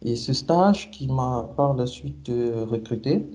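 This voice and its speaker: Slow, hesitant man with a very dark voice. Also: mid-range voice, expressive. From Cameroon. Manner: hesitant, many fillers and restarts. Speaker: SAP consultant guest. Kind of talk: interview answers.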